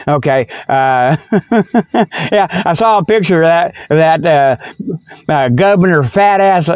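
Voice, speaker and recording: Man doing a high-pitched goofy cat radio host. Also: animated, takes it slowly, clear, resonant tone, no echo, lo-fi sound. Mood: teasing, wisecracking. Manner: rambling, folksy, stumbling, chuckles at own jokes. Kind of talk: comedic radio monologue with spoof ads.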